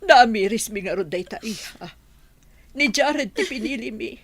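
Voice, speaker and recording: A woman's voice: a little high, animated, moderate pace, no room sound. A woman acting as an earnest, concerned middle-aged mother in a radio drama. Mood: regretful.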